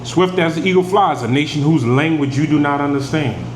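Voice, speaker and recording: Guy preaching thru bible verses, kinda high voice, echoey room w/ ac hum. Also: brisk. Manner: reads verses then explains them in plain talk. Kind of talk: scripture teaching.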